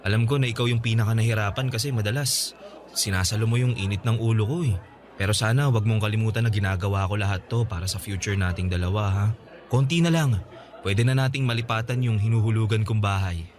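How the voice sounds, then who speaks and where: A man's voice: lowish voice, fast talker, close to an everyday voice. A man acting as a sweet, devoted young fiancé in a radio drama, speaking in an affectionate, reassuring way. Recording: music and crowd behind dialogue.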